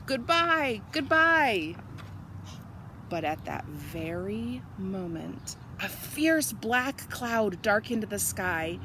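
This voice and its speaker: A woman reading a picture book aloud to children as expressive narration, sing-song and emphatic. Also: on the high side, a little hiss or hum.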